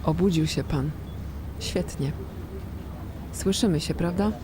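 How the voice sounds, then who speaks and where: An actress playing a brisk, matter-of-fact hospital medic in a naturalistic radio drama, with a clinical, direct manner. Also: female voice, sits low, noisy background. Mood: professional, calm.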